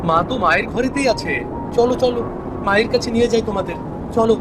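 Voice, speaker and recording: A man's voice: extremely high pitch, quick pace, background noise. A man voicing a protective, exasperated younger brother in a cartoon family drama, speaking emphatically. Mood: welcoming. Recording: loud music under the voice.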